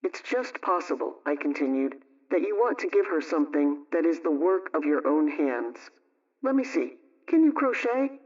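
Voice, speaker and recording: A woman playing a brisk, motherly homemaking adviser, telling a scripted radio story in an emphatic, instructive way. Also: low-pitched, very animated, a little mellow, recorded from a distance, dull audio. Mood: emphatic, coaxing.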